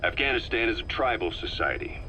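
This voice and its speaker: An actor playing a grave, knowing soldier who gives a measured, deliberate briefing in a scripted video game. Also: male voice, fairly low pitch, sing-song, bright, forceful tone. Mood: measured, informative. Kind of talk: scripted dramatic briefing narration. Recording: dull cassette tape with faint noise.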